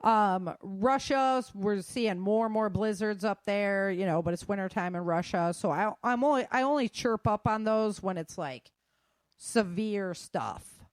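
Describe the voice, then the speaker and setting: A woman's voice: medium pitch, measured pace, no room sound. A woman hosting a show, running through news in an informal, slangy way with chatty asides. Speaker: host of a survival news show.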